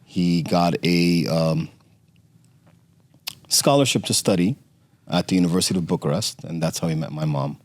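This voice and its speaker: Middle-aged man guest from Romania, low voice, halting storyteller, dead-dry mic. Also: no room sound. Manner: halting, many fillers and restarts. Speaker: podcast guest. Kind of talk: conversational interview storytelling.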